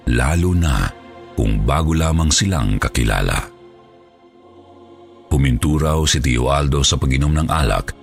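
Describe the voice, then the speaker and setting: Male horror narrator: low, solemn, dramatic storytelling over clear background music.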